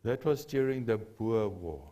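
A man's voice: lowish voice, expressive, unhurried, dark tone. An older man from South Africa, a native Afrikaans speaker with a South African accent, preaching a sermon in a church hall with earnest emphasis and repeated key phrases. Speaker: pastor. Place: church hall with a lectern and a cross.

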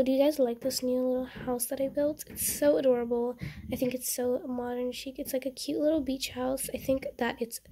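High, soft-toned chatty young gamer woman. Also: slight background noise. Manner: chatty, rambling, easily excited. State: slightly stuffy nose from a cold. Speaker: gaming channel host. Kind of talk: casual game commentary.

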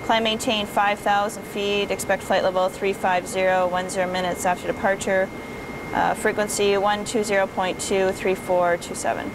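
A woman playing a methodical airline first officer, reading clearances and checklists in a procedural, halting way. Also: medium pitch, little pitch movement, close to an everyday voice. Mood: procedural, focused. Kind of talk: cockpit radio calls and checklist readout. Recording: noisy cockpit hum behind voice.

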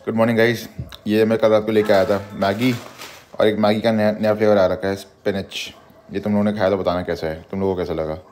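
A man's voice: on the low side, level tone, fast talker, a little mellow, a little hiss or hum. A male travel vlogger chatting casually and playfully to his viewers, mixing English words into his talk.

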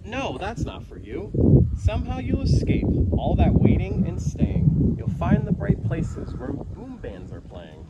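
A man's voice: high voice, big pitch swings, unhurried, highs cut off. A man reading a rhyming children's picture book aloud with theatrical, dramatic emphasis, like a naturalist storyteller. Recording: outdoor recording with background ambience noise.

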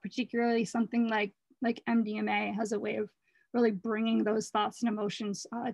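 A woman artist speaks over her slides in a slightly high, hoarse voice. She is earnest and rambling, restarting her phrases often. The sound is dry and close, with no room echo. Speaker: artist presenting her work. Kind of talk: casual talk over slides.

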